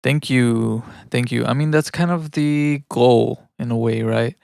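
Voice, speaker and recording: A man's voice: mid-range voice, measured pace, creaky, darkish tone, clear, resonant tone, no echo, clean studio sound. A man from Mexico living in the United States chats casually and thinks aloud in a rambling, self-reflective way while producing music on a livestream. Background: electronic music.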